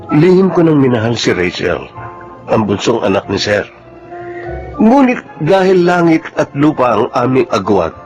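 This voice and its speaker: Humble male driver, earnest, medium pitch, Filipino radio drama, dull recording, soft music.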